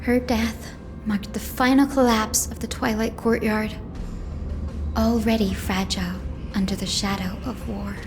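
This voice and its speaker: A woman voicing a kind, optimistic, earnest young heroine in a video game, with a gentle, sincere manner. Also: mid-range voice, fairly even intonation, measured pace, lightly acted. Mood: somber, reflective. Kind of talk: scripted game dialogue and narration. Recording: music behind the voice.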